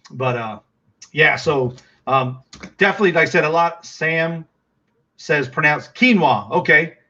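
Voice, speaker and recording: Middle-aged Texan man, livestream host, rambling and chatty, slightly high bright voice. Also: a little slow, long pauses, muffled recording. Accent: Texas. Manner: rambling, chatty, full of fillers and restarts. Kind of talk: casual livestream chat.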